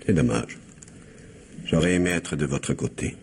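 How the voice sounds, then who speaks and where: An actor playing a scheming, indebted blackmailer with falsely courteous, insinuating manners in a French radio mystery. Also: male voice, very deep voice, measured pace, creaky, a little mellow, heavily acted. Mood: bargaining. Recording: faint background noise.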